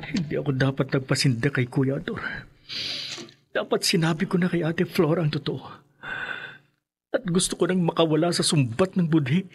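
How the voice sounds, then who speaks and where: An actor playing a frightened, guilt-ridden younger brother in a radio horror drama, stammering and pleading. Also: male voice, on the high side, moderate pace, slight background noise, close to an everyday voice. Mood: anguished.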